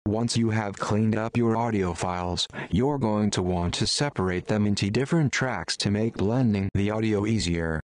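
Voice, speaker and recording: A man reading scripted instructional narration for a tutorial in a measured, step-by-step way. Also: fairly low pitch, no room sound. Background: background music.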